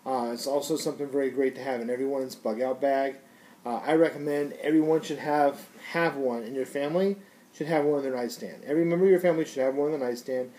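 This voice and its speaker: A man's voice: medium pitch, fairly even intonation, quick pace, dark tone, low-quality recording. A man giving a casual, unscripted product review in a rambling, conversational, slangy way.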